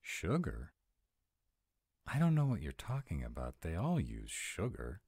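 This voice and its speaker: A man's voice: deep voice, wildly swooping pitch, unhurried, a little mellow, breathy edge, no room sound, clean studio sound, full character voice. A man voicing a grumpy, rule-bound were-dragon boyfriend in a roleplay audio, speaking with fussy, exasperated emphasis. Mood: fussy, cooperative.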